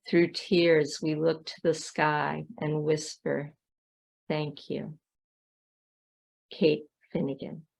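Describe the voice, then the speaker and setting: Woman poetry reader, gravelly and even in pitch, deliberate phrasing with clear pauses. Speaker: poet reading own poem. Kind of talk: poetry recitation.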